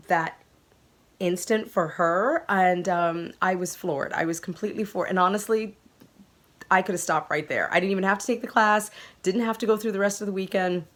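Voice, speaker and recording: A woman hosting her podcast, telling a story to viewers in a gushing, excitable way, her voice a little croaky from a cold. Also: mid-range voice. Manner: gushing and excitable, laughing often. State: recovering from a sore throat. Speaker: podcast host.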